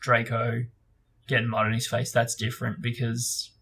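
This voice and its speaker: A man's voice: mid-range voice, completely flat delivery, normal speed, a bit bright, breathy edge. A man hosting a book discussion, reading aloud and chatting casually in informal, loosely phrased speech. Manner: hesitant, informal phrasing with frequent "like" and "sort of". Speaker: book discussion host and reader.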